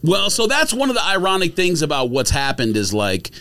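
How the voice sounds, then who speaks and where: A man's voice: on the high side, wildly swooping pitch, fairly quick, pushed and bright. A man hosting a sports podcast, debating casually and insistently. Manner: argumentative, insistent.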